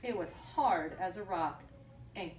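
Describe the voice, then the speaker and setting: A woman reading a picture book aloud to young children, pausing to question them and repeat their answers back. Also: lowish voice, expressive, darkish tone. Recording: roomy echo, distant mic, faint noise.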